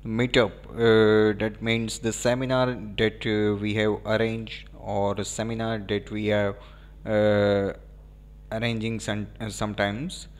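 A man with an Indian English accent giving a screen-recorded software walkthrough, pausing often with fillers. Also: fairly low pitch, level tone. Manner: hesitant, frequent filler pauses. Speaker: software demo presenter.

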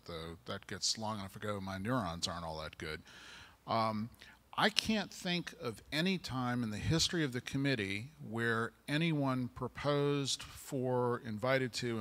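A man's voice: fairly low pitch, fairly bright tone. Older man, earnest panel answer. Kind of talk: panel discussion. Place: large lecture hall. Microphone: handheld mic.

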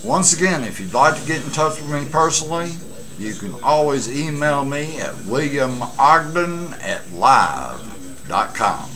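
A man in his late fifties chatting casually and haltingly to a webcam at home, with rambling asides. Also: a little high, big pitch swings, pushed and bright. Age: late 50s. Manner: halting, pausing delivery with asides. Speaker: amateur vlogger and CB radio hobbyist. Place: small cluttered room at home. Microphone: webcam setup at desk distance.

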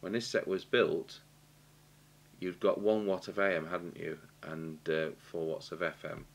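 A British man giving a halting, matter-of-fact technical demonstration, thinking aloud as he works at a workshop bench. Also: deep voice, level tone. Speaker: radio repair technician demonstrating. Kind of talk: unscripted technical demonstration commentary. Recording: clear background noise and hum.

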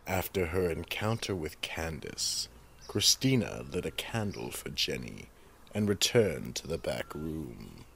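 A man's voice: extremely low pitch, very animated, a little slow, creaky, fairly bright tone, a little hiss or hum. A man narrating a scripted horror radio drama and announcing its acts.

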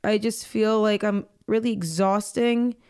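A woman in her twenties co-hosting a podcast, joking and self-deprecating, her voice a little hoarse. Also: medium pitch, fairly even intonation, a little slow, a little mellow. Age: 20s. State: slightly hoarse. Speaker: podcast co-host. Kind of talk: casual chat.

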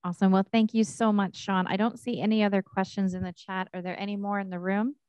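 Woman moderator, polite, smooth voice, dry dull audio. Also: medium pitch, flat delivery, fast talker, darkish tone, no room sound. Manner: polite, procedural. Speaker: event moderator.

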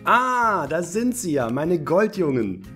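An actor playing a genial, scheming older director and storyteller in a German audio play parody. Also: male voice, fairly high pitch, sing-song, takes it slowly, a bit bright, soft background music, exaggerated voice. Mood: jovial, grand.

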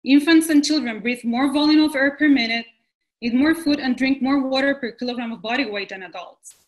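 A woman from Rico speaks with a slightly high voice. She presents as a pediatrician in an earnest, measured manner, reading her script over a video call from a room at home. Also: low-quality recording. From Puerto Rico. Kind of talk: prepared presentation.

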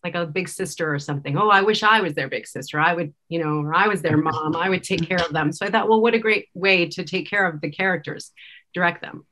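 Bright-voiced woman, fairly low, speaking personally. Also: fairly quick, a little hiss or hum. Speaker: director, interview guest. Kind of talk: personal, reflective interview talk. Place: indoor room. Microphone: video call.

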